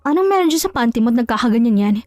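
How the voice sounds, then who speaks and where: Woman as a high-voiced, sarcastic scheming wife. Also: animated, racing, a little mellow, dry recording, studio quality, close to an everyday voice. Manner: sarcastic, feigning innocence. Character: scheming jealous wife, sarcastic and coolly composed.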